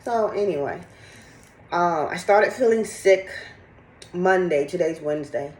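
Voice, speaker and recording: A middle-aged woman with a slightly raspy, congested voice, chatting candidly and casually in a rambling personal vlog. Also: fairly low pitch, expressive, unhurried, a little mellow, roomy sound, low-quality recording. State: sick with congested cough. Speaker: fitness vlogger. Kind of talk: casual chat to camera, personal update.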